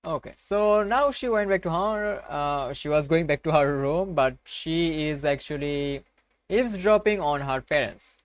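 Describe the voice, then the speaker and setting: A man's voice: on the high side, measured pace, clean tone, no echo. A man hosting a game playthrough, reading a visual novel aloud with casual commentary.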